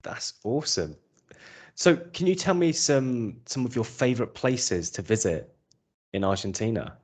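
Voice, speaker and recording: A man's voice: medium pitch, lively intonation, moderate pace, darkish tone. A man from England hosting a podcast, upbeat and encouraging in a casual interview. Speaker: podcast host.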